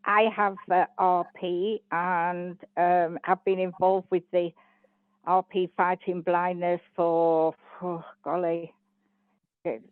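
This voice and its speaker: An older woman with a fairly low voice talks in a chatty, wandering way. Her voice comes through a thin, dry phone line. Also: level tone, takes it slowly, no echo. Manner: chatty, rambling. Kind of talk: introducing herself on a call.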